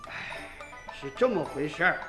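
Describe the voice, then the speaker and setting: An actor playing a blustering, exasperated rural village head in a Chinese radio drama, talking in a folksy, roundabout way. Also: male voice, on the high side, expressive, normal speed, close to an everyday voice. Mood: explaining. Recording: roomy echo, faint music behind.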